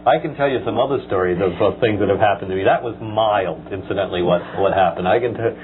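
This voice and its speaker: A middle-aged man hosting a live call-in talk show, speaking in a hesitant, measured, easygoing way. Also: lowish voice, a crowd in the background. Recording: muffled lo-fi tape with hum.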